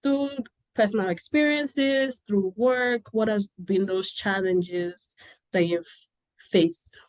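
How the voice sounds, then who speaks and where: A woman from Nigeria hosting a panel conversation over a video call, chatty and quick to laugh, with many fillers. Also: mid-range voice, dry recording. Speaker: interviewer.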